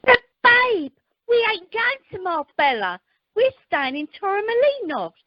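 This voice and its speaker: Brash, vain wife played by a woman, high and swooping, radio comedy, noisy clicking phone line. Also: big pitch swings, measured pace, no room sound, heavily acted. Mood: chatty, vain. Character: brash, dim, vain nouveau-riche wife. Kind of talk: amateur radio comedy acting.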